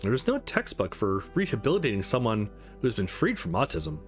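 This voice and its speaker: A man voicing a measured, authoritative scientist in a visual novel, speaking in a precise, formal manner. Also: mid-range voice, big pitch swings, faint music behind, voice pushed down, close to an everyday voice.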